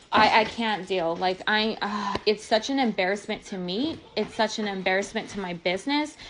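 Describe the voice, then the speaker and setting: A woman's voice: medium pitch, a little hiss or hum, poor audio. A woman telling a personal story in a casual, exasperated and emphatic way. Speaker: online small business owner.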